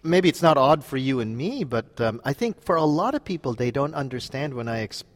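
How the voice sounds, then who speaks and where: A man's voice: medium pitch, expressive, a little fast, big-room sound. Chatty male interviewer, probing questions. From Philippines. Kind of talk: conversational interview.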